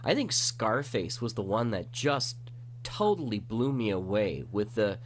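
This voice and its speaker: A man's voice: on the low side, lively intonation, unhurried. Man hosting an interview, faint hum behind. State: getting over a cold. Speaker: radio drama podcast host interviewer. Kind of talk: conversational interview.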